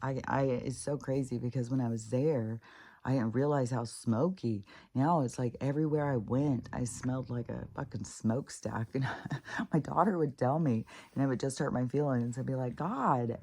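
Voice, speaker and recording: A middle-aged woman talks in a loose, meandering way, full of fillers, restarts and casual swearing. Her nose is stuffed up, and her voice has a mellow, relaxed tone. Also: a bass voice, normal speed, husky. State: congested, stuffed up. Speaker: spiritual vlogger. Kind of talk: rambling personal monologue.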